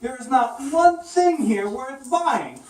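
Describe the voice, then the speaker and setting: Woman voicing a high-pitched plucky little mouse. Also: lively intonation, slow pace, a little mellow. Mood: indignant. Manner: earnest, outspoken. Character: bold, kind-hearted young male mouse adventurer. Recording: echoey, distant mic, faint noise.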